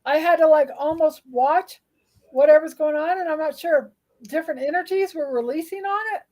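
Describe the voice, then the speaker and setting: A slightly hoarse woman co-hosting a podcast, rambling gently about energy healing. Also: high-pitched, brisk, low-quality recording. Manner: rambling, soothing explanations. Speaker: podcast co-host and energy healer. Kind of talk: casual spiritual chat.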